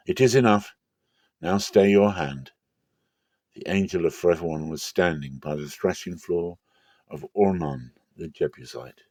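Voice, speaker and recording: A man giving a solemn, deliberate dramatic reading of scripture as a narrator. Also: low-pitched, a little mellow, no echo. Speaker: scripture reader.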